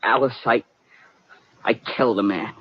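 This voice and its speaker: An American actor in a 1950s radio drama playing a naive, excitable young would-be detective who stammers when flustered and dramatizes himself. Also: male voice, medium pitch, a little slow, husky, no room sound. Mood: distraught, confused. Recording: muffled vintage 1950 radio broadcast.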